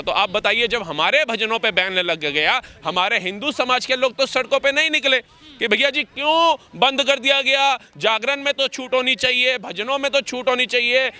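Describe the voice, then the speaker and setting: A man with a very high, piercingly bright voice makes his case in a street interview. He is combative and emphatic, pressing his points with one rhetorical question after another. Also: clean tone. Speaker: interviewee giving political opinions. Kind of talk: impassioned street interview argument.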